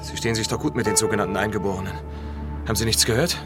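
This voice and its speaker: Man acting blunt, low-voiced, suspicious investigator. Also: steady tone, rapid-fire, lightly acted. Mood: shaken, suspicious. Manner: probing, blunt, sardonic. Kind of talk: German radio drama acting. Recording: loud music behind the voice.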